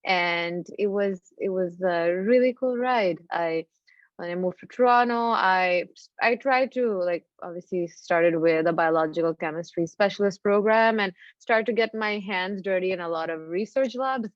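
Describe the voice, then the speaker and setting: A woman from Bangladesh giving an informal personal career talk as a startup founder, with hesitant, halting phrasing. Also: fairly low pitch. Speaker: deep tech startup founder, guest speaker. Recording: roomy, slightly dull lo-fi sound.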